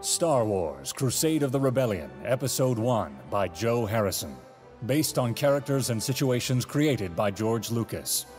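A man's voice: mid-range voice, expressive, a little slow. A man reading scripted narration and credits as the announcer of an audio drama, in a dramatic style. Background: orchestral film music.

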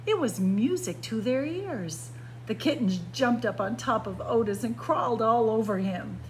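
Female picture book narrator: unhurried, dramatic phrasing, recorded indoors with background noise. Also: animated, poor audio. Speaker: library story time reader. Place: indoors by bookshelves. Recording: clear background noise with low hum.

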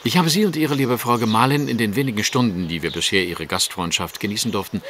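An actor playing a shrewd, courteous Victorian gentleman detective in an audio drama, formal, precise and probing. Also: fairly low pitch, quick pace, a little hiss or hum, natural voice. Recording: night-like outdoor ambience, insects, birds.

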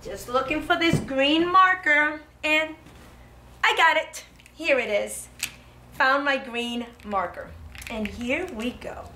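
A woman's voice: sits high, slow pace, sharp tone. A woman in her thirties teaching young children step by step as a preschool teacher, in short, encouraging phrases with frequent check-ins. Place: indoor preschool classroom. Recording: classroom with audible background noise.

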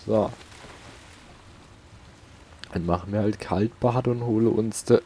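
A man's voice: lowish voice, takes it slowly, warm tone, slight background noise. A man with a southern German accent giving casual, colloquial gameplay commentary, muttering to himself as he goes. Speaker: let's play host. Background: game music.

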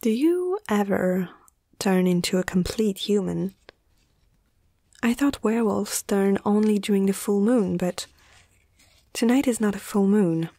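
A woman playing a caring young female veterinarian in a soft, hushed ASMR roleplay, speaking gently and a little hesitantly. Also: mid-range voice, lively intonation, unhurried, no echo, studio quality. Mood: curious, gentle.

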